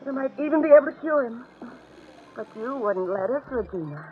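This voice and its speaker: An actress playing an earnest, distraught but resolute wife in dramatic old-time radio horror style. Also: female voice, a little high, lively intonation, brisk, lightly acted. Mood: grave, pleading. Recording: tinny, noisy old radio recording.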